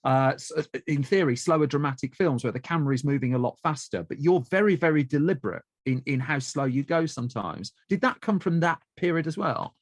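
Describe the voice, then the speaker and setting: A man's voice: a little high, expressive, a little fast, few pauses, no room sound, clean studio sound. A man hosting a podcast interview, eager and given to stammering restarts as he asks questions. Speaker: podcast host. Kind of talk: casual interview chat.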